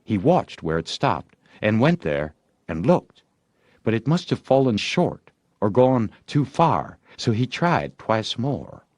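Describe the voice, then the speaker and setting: A man's voice: fairly low pitch, very animated, takes it slowly, no echo. A man narrating a story expressively as an audiobook reader.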